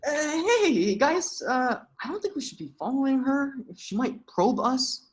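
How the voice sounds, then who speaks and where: A woman's voice: high-pitched, animated, unhurried. A woman playing an awkward, anxious and defensive young male spring breaker at a script table read. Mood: nervous.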